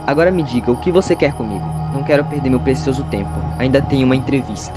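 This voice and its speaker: Man acting a fast-talking, arrogant heartthrob villain. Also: medium pitch, lightly acted. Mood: haughty, sneering. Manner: haughty, dismissive. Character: arrogant, sexist heartthrob soap actor, sneering villain. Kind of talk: amateur audio drama acting. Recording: loud music under the voice.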